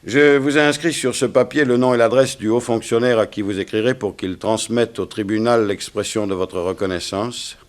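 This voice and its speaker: A man's voice: on the low side, steady tone, normal speed, few pauses, close to an everyday voice. An actor playing a formal, curt male prison warden in a 1960s French radio play. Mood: brisk, official.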